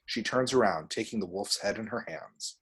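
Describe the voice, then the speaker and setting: Low, slightly husky man narrating a script. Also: normal speed, fairly bright tone, rough recording. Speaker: reader of action descriptions.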